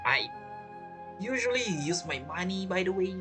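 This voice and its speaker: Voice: mid-range voice, lively intonation, moderate pace, loud music behind the voice. A game streamer giving casual let's play commentary in non-native English phrasing.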